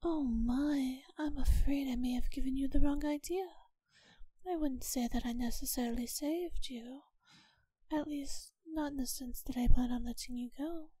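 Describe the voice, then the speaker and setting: A woman playing a kind but possessive, wealthy vampire mistress in a soft ASMR audio roleplay, speaking in a gentle, breathy near-whisper with genteel, unhurried reassurance. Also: high-pitched, steady tone, no room sound, lightly acted. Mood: kindly, sympathetic, then gently firm.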